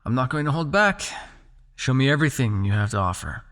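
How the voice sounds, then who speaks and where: A man playing a possessive, teasing vampire captor in an intimate ASMR roleplay, coaxing the listener with a creaky voice. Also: mid-range voice, sing-song, fairly bright tone. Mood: confident, challenging. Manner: coaxing, teasing, with pauses, sighs and murmured asides.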